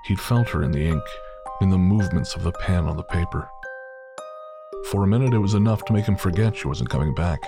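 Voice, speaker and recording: Deep-voiced man narrating an audio drama. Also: a little fast, vocal fry. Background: music.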